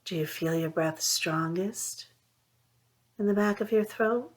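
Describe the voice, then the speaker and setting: A woman leading a guided mindfulness meditation, speaking in a soothing, unhurried way with long pauses between phrases. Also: medium pitch. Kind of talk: guided meditation, gentle instruction.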